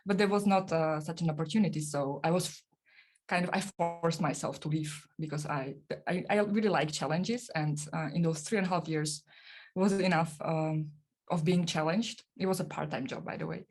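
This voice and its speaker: A woman's voice: low voice, moderate pace, darkish tone. A young woman, a podcast guest, answering interview questions casually with many fillers and restarts. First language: not German.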